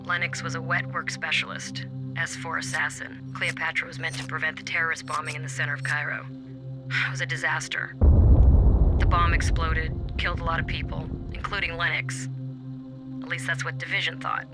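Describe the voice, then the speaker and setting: A low female voice of an actress as a hard-bitten special operations soldier in a video game. She recounts events cynically, in terse and measured phrases, with music clearly behind her. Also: flat delivery, lightly acted. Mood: grim, measured. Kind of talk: dramatic game cutscene acting.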